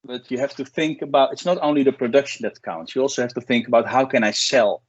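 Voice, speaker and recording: A man's voice: mid-range voice, quick pace, breathy edge, no room sound. A man, an export coach giving a plain-spoken online workshop talk with many restarts and fillers. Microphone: video call. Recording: dull lo-fi video call audio.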